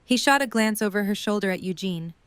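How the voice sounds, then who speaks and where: Woman, audio drama narrator, very clear smooth voice, medium pitch, scripted storytelling, dry close recording. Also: animated, brisk, a bit bright, no room sound.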